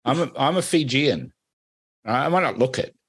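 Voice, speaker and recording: A man's voice: medium pitch, sing-song, moderate pace, fairly bright tone, breathy edge, no echo. A man born in Fiji hosting a political talk radio interview, making pointed, emphatic remarks and asking leading questions. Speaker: host.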